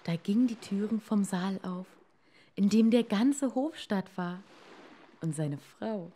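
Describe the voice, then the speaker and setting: A woman narrating a fairy tale for children in a measured way, with dramatic pauses. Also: medium pitch, warm tone. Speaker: storyteller.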